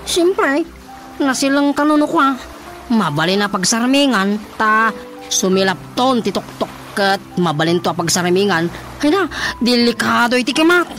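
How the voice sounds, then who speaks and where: An actor playing a naive, earnest young son with an Ilocano accent in a radio comedy-drama. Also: male voice, very high voice, lively intonation, moderate pace, fairly bright tone. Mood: explaining. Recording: prominent music under the dialogue.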